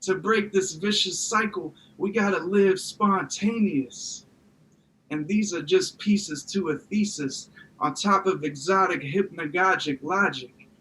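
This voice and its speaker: A man's voice: high voice, a little slow, fairly bright tone, slight background noise, poor audio. A man reciting rhyming slam poetry in a rhythmic, emphatic spoken-word delivery. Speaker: slam poet.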